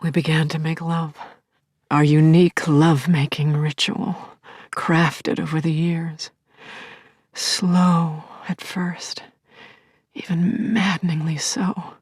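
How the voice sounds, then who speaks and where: A grieving, yearning widow in middle age, confides her story in an intimate audio play monologue. Her voice is husky and breathy, close and dry, often sinking toward a whisper. She speaks slowly and haltingly, with tender feeling. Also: low-pitched, level tone, darkish tone, no room sound, full character voice. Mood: hushed, sensual. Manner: confiding, halting, emotionally charged. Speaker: lead actor in an audio play. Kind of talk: intimate first-person audio drama monologue.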